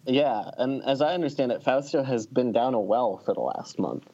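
A man's voice: medium pitch, moderate pace, mellow. Dull-sounding recording of a wry male podcaster. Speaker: podcast co-host. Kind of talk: casual chat and anecdote. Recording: slightly dull, lo-fi audio.

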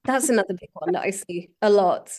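A woman's voice: medium pitch, animated, a little fast, darkish tone. A woman chatting casually as a podcast guest, informal and emphatic, with frequent exclamations. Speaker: podcast guest, SEO professional. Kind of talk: casual chat.

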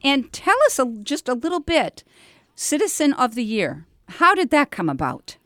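A woman's voice: high-pitched, huge pitch swings, moderate pace, a bit bright, dry recording, studio quality. A woman hosting a live community radio show in an informal, rambling, effusive way, ad-libbing as she goes.

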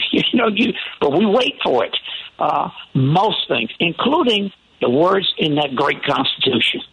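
A man with a raspy voice giving plain, exhorting commentary as a panelist. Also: on the high side, sing-song, a little slow, a bit bright, dry recording, rough recording. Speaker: panelist, commissioner.

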